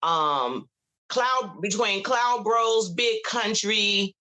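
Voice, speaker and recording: A woman over fifty with a bright, forceful voice chats easily as a podcast guest. She stops, restarts and repeats words as she thinks aloud. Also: medium pitch, drawn-out words. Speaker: podcast guest, cigar brand ambassador. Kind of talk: casual chat.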